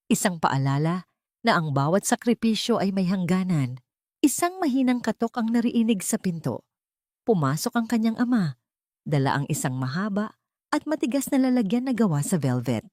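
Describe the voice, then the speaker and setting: A woman narrates a dramatic audio story in a low register. The recording is dry and close, and her delivery is measured and solemn. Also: darkish tone, dry recording.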